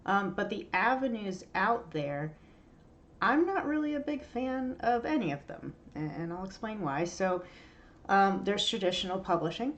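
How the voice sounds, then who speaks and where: A woman's voice: mid-range voice, animated, moderate pace, dull audio, a little hiss or hum. A woman in her thirties hosting a podcast for writers, sounding nasal and stuffy from allergies, talking candidly with plenty of ums and uhs. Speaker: podcast host. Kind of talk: casual solo talk to writers. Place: home room.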